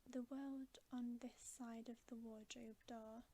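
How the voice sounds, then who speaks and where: A woman reads a children's novel as ASMR for bedtime. Her voice is breathy, mostly whispered and mellow. She takes it very gently, at a slow, soothing pace. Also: on the high side, flat delivery. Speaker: ASMR storyteller.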